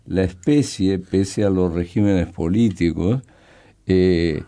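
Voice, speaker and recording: Slow, mellow older man. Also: sits low. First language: Brazilian Portuguese. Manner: slow, hesitant, halting, thoughtful. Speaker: poet and guest. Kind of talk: reflective interview talk.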